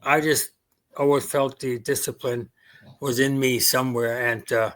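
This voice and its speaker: An elderly man with a Queens New York accent tells old family stories in an easy, conversational way. German was his first language, and his pitch sits in a middle range. He often pauses with hesitations and acts out the voices of his parents. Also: fairly even intonation, fairly bright tone. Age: 80s. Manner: reminiscing, quoting family dialogue with hesitations. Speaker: veteran guest. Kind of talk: casual interview storytelling.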